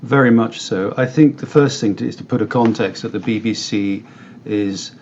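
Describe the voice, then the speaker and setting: A British man giving measured, articulate explanations as an expert guest in a conversational interview. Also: on the low side, darkish tone, muffled recording, a little hiss or hum. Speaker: company director interviewed as guest.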